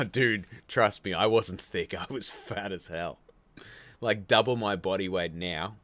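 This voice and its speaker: A man's voice: sits low, slow, fairly bright tone, no echo. An Australian man hosting a live stream, rambling casually as he reads out viewer comments and answers them with frequent laughs. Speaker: livestream host.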